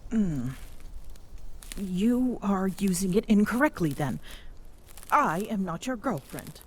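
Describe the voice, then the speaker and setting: A woman voicing a tall, proud Amazonian queen who is smitten and flustered, speaking haltingly in regal phrases for an intimate ASMR roleplay. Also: mid-range voice, sing-song, a little hiss or hum. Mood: composed, bemused.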